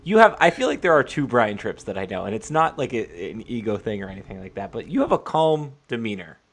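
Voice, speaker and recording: A man's voice: on the low side, sing-song, a little fast, a bit bright. A young man hosting a casual interview, informal and hesitant, circling his questions with repeated words. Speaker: podcast host, interviewer.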